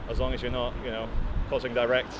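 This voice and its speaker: A man's voice: medium pitch, monotone, fast talker, highs cut off. Man talking on a noisy street. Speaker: street activist with a megaphone. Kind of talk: street speech and chat in public. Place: outdoors on a city street. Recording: noisy city street, traffic behind.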